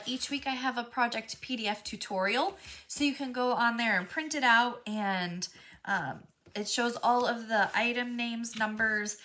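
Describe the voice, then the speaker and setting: A woman teaching a live step-by-step crafting class, her voice stuffy and a little froggy from a cold. She talks in a chatty, friendly way, with plenty of ums as she works. Her tone is bright and pitched a touch high. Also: a little hiss or hum. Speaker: craft instructor.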